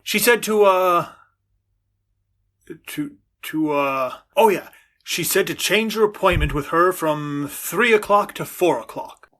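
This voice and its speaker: A man plays a harried suburban father in a 1940s-style radio comedy. His voice is bright and forceful, and he stammers as his patience wears thin. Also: sits high, slow pace. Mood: impatient. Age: middle-aged. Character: flustered, henpecked suburban father, easily exasperated. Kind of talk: 1940s radio sitcom acting.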